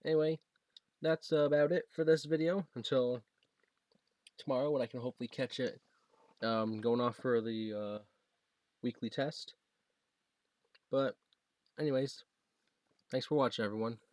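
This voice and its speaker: A man talking casually and haltingly, thinking aloud as he demonstrates a gadget he is setting up. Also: medium pitch, fairly even intonation, a little mellow, dry recording. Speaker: product reviewer demonstrating a gadget. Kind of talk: casual unscripted unboxing and setup demo.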